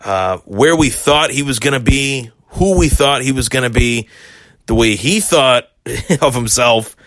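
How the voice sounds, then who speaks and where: A man hosting a solo sports podcast, hoarse and a bit hungover, rambling through fight reactions with many fillers and restarts. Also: medium pitch, a little slow, a bit bright, dry recording. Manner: rambling, repeats himself, frequent fillers. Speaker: podcast host.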